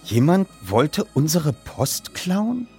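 A man's voice: a little high, sing-song, slow pace, a little mellow, soft background music, voice pushed up. A man voicing a guarded, protective mother of about forty in an audiobook reading. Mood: skeptical, stern. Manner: stern, hesitant, guarded.

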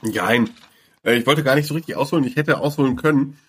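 A man, a sports reporter, chatting in a podcast interview with plenty of fillers and restarts. His voice is of middle pitch and he talks easily, as if over a call line. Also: quick pace, breathy edge.

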